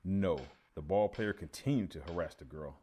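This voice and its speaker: An actor playing an earnest veteran high school teacher giving a measured, official interview in a radio play. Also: male voice, warm tone, slight background noise, close to an everyday voice. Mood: official, unruffled.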